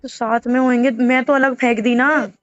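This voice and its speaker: High-pitched aggrieved woman on a muffled call. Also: steady tone, moderate pace, no room sound. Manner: aggrieved, insistent, repeating herself. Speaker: caller in a private phone conversation. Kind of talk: emotional casual phone chat. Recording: dull lo-fi phone call recording, music behind.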